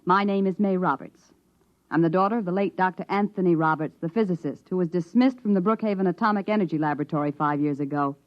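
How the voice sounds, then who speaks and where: An American actress playing a coolly menacing young woman, crisp and commanding, in 1950s radio drama style. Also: fairly low pitch, fast, lightly acted. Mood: smugly controlled. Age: young adult. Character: cool, menacing young woman holding a captive at gunpoint. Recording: muffled 1950s radio recording.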